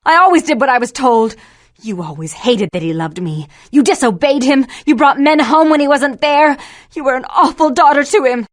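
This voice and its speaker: Cold, imperious vampire sister. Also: female voice, sits high, expressive, no echo, studio quality. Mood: stern reproach. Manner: haughty, measured, disdainful. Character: prim, controlling, cold, imperious elder sister, self-righteous vampire baron. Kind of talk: dramatic video game voice acting.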